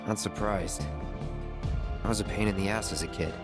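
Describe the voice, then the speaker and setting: Game casting: male actor as reserved young mercenary, lowish voice, few words, understated, loud music underneath. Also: steady tone, moderate pace, lightly acted. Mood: awkward, wry. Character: laconic, guarded young male mercenary ex-soldier. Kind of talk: video game cutscene acting.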